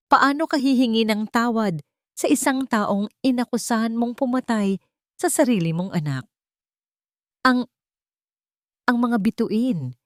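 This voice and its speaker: A woman narrates a scripted audio drama as its storyteller. Her voice is notably low in pitch. The recording is very dry, with hardly any room sound. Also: no echo.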